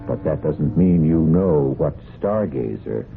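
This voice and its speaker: Deep creaky male radio mystery host. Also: animated. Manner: deliberate, theatrical storytelling. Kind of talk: dramatic scripted narration, old radio. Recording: narrow old radio recording with music.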